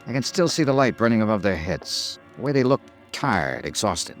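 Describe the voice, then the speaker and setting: An actor with an American accent playing a tough, dogged, wry insurance investigator in a 1950s radio detective drama. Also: male voice, lowish voice, sing-song, moderate pace, faint music behind. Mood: somber, reflective.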